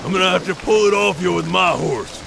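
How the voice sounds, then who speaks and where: Gravelly western bounty hunter. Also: male voice, high voice, a little fast, noisy background. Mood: grimly practical. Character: tough, unhurried frontier bounty hunter, menacing and practical. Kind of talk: western audio drama acting.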